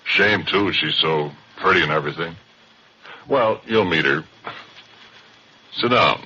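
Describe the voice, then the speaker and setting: An actor playing a middle-aged former oil roughneck who tells his story in a folksy, chatty monologue, in 1940s radio acting style on a muffled broadcast with hiss. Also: low-pitched, a little slow, bright, forceful tone, full character voice. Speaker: narrator.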